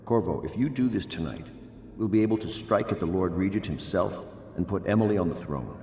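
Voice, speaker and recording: A man voicing a grave, resolute conspiracy leader in a video game, measured and earnest. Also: deep voice, expressive, dark tone. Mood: resolute, serious. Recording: roomy echo, faint music.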